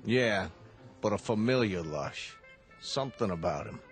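An actor playing a cocky, threatening mob boss in a radio drama with music underneath. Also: male voice, lowish voice, animated, measured pace. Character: menacing male mob boss, middle-aged.

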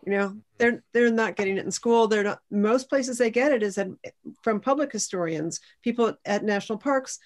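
A woman's voice: on the high side, moderate pace. A middle-aged American woman, a historian explaining things in a panel discussion on a video call. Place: indoors at home, video call.

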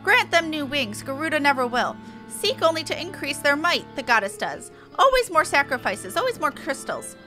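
A zealous bird-man played by a woman declaims in a high, bright voice. The delivery is earnest and proud, with odd inverted phrasing, like a quest giver in a fantasy game. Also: sing-song, normal speed, faint music behind, full character voice. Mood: solemn, proud. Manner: inverted word order, declamatory, squawking.

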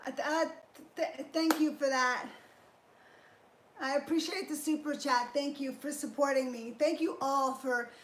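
A woman's voice: high voice, fairly even intonation, a little slow, a bit bright, a little hiss or hum. A middle-aged woman hosting a casual fitness livestream from her garage home gym, upbeat as she thanks viewers and explains her training step by step. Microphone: fixed camera at a few feet. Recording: echoey garage room.

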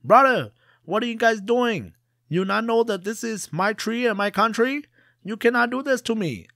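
A gullible, excitable merchant, as a man puts him on, shouting high and thin in broken English. The voice leaps far above a normal male range and swings wildly. The sound is close and dry. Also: normal speed, no echo, exaggerated voice.

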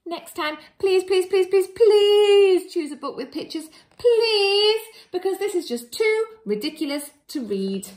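A woman's voice: very high-pitched, expressive, measured pace, few pauses, darkish tone, voice pushed up. A woman playing an indignant, pleading grown-up forced to read a silly storybook aloud. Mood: pleading, exasperated. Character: exasperated grown-up reader forced to say silly things. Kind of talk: expressive picture-book read-aloud.

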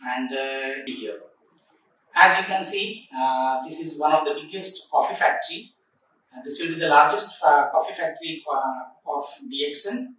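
A man in his forties, seated in an office, presenting a factory project in a formal way with many filler pauses. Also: on the high side, sharp tone, a little hiss or hum. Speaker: project coordinator. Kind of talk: corporate site tour presentation. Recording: echoey room, recorded from a distance.

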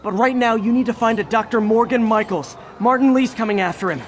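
An actor playing a quippy young masked hero in a video game, speaking briskly with wry quips. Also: male voice, extremely high pitch, a little hiss or hum. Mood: calm.

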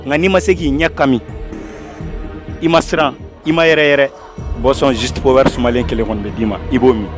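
A man's voice: mid-range voice, steady tone, moderate pace, pauses often. A young man hosting a casual street game outdoors, repeating phrases for emphasis. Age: young adult. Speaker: street interview host. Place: outdoors in urban courtyards and streets. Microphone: small handheld lapel mic. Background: music.

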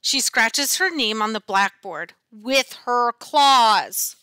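A woman reads a children's picture book aloud like a teacher to a class. Her voice is fairly high and bright, and her delivery is slow and dramatic. The sound is dry and close. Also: lively intonation, clear, resonant tone, dry recording. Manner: dramatic, deliberate storytelling. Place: small classroom, indoors. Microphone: headset.

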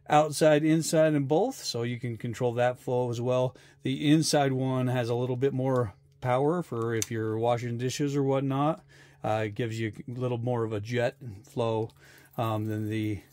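A man's voice: mid-range voice, fairly even intonation, unhurried, darkish tone, no room sound. A middle-aged man talking casually and plainly to viewers as an outdoor vlogger, explaining things in an easygoing way. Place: outdoors in snowy woods.

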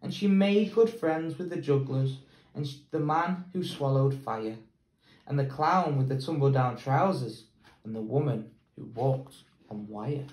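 Young English male teacher, slow storybook reading, roomy echo on the camera sound. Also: medium pitch, darkish tone. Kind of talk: picture book read-aloud. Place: plain indoor room.

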